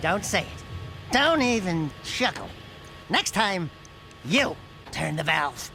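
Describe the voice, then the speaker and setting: A man's voice: high voice, very animated, slow pace, creaky, over music, heavily acted. A man voicing a cocky, wisecracking small furry animal sidekick in a video game, brash and slangy. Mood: sardonic, grumbling. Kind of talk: video game cartoon comic acting.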